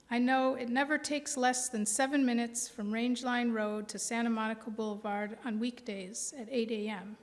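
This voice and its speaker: Slow, high-voiced American woman in hall. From Milwaukee, American Midwest. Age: 40s. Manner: slow, deliberate phrasing with pauses. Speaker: poet. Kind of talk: live poetry reading. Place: indoor reading before a live audience. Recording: reverberant hall sound.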